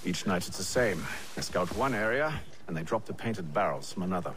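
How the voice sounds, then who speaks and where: An actor playing a composed, shrewd English gentleman adventurer in a video game, speaking in a measured, articulate and formal way. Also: low voice, lively intonation, noisy background. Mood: cool, pensive. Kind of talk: cinematic video game acting.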